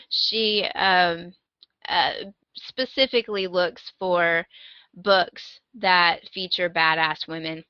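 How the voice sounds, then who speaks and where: Female podcast co-host, medium pitch, informal conversational delivery, recorded dry with slightly lo-fi tone. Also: no echo. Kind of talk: casual podcast chat with recommendations.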